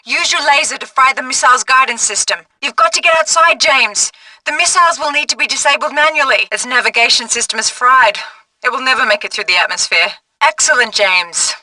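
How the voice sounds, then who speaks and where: An actress playing a capable female agent who gives a spy brisk mission guidance in a video game. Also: high voice, sharp tone, no room sound, recorded from a distance, rough recording. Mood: urgent.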